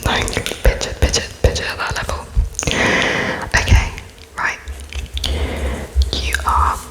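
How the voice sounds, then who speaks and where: Woman whispering real slow for asmr, breathy, halting little phrases, polite interviewer roleplay. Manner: slow, halting, spaced-out phrases as if writing notes, polite and apologetic.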